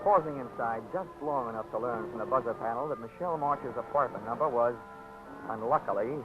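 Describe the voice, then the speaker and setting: A man's voice: medium pitch, fairly quick, close to an everyday voice. A wisecracking insurance investigator telling his case in a dry voice, as an actor plays him. It plays as a dull-sounding 1940s radio broadcast with music under the talk. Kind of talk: 1940s radio drama narration.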